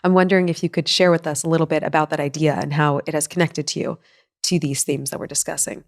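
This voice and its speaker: A woman's voice: deep voice, level tone, quick pace. A woman hosting a faith podcast interview, affirming her guest and reflecting back on what she said. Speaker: podcast host.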